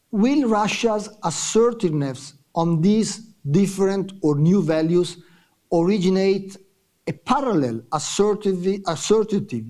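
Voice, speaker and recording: A man giving prepared, formal remarks as a panelist at a conference discussion, in a measured delivery. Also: sits high, mellow.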